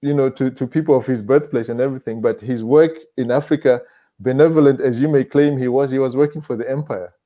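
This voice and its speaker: A man from Zimbabwe with a Zimbabwean accent speaks in a soft-edged, mellow voice. He is measured and halting as a panelist, repeating phrases for emphasis. The recording is dull and lo-fi. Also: medium pitch, steady tone. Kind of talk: reflective panel discussion.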